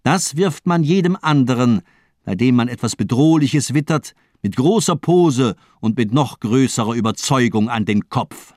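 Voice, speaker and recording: An actor playing a cold, cynical doctor villain in a radio crime drama, speaking eloquently with mocking elegance. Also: male voice, medium pitch, expressive, darkish tone, dry recording, clean studio sound, lightly acted. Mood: scornful lecturing. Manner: eloquent, playful, mocking, deliberate monologuing.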